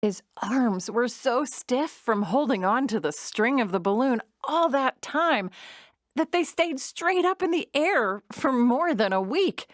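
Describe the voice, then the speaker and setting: A woman narrating a children's storybook audio drama in an expressive storytelling manner. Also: sits high, unhurried, no room sound, clean studio sound.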